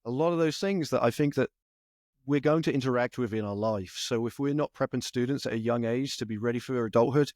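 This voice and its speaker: A man hosting a podcast interview, asking questions casually, with restarts and warm affirmations. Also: medium pitch, fairly quick, no room sound, clean studio sound. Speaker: podcast host.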